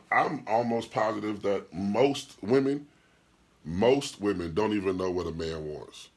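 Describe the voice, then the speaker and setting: A man hosting a podcast and talking as a DJ, with a deep voice, speaking bluntly and profanely in an opinionated monologue, firing rhetorical questions and answering live chat comments. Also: a bit bright, breathy edge. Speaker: podcast host and DJ.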